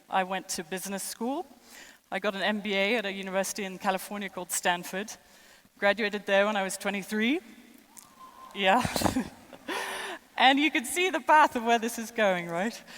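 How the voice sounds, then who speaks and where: A woman's voice: mid-range voice, a bit bright. Woman keynote speaker, thirties, French-tinged international accent, conversational and self-mocking, long hall reverb. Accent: mixed international, French and American traces. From born in the United States, raised in six countries. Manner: chatty and self-deprecating, with light laughs and fillers. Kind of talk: conference keynote speech. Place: large conference hall.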